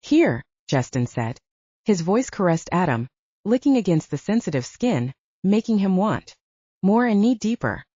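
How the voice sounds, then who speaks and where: A woman's voice: medium pitch, big pitch swings, normal speed, mellow, slightly rough, no echo, lo-fi sound. A woman reading dramatic fiction as a scripted audiobook narration.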